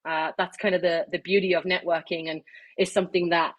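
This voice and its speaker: A woman's voice: fairly low pitch, level tone, fairly quick, lo-fi sound. A woman giving an informal online presentation, chatty, with many fillers and restarts. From not Irish, living in Ireland. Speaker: webinar speaker. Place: online webinar, video call.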